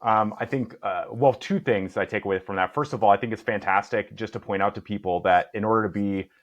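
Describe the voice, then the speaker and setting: A man hosting a podcast, asking his guest questions in a hesitant, halting way. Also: on the low side, lo-fi sound. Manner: hesitant, many fillers and restarts. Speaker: podcast host. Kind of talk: interview questions.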